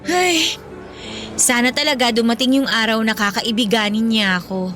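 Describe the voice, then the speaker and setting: A high, bright-voiced Filipino schoolgirl, acted by a woman in a radio romance drama. She is expressive and bantering, quick to get defensive. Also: soft background music, close to an everyday voice. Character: playful, defensive teenage schoolgirl pretending to be rich.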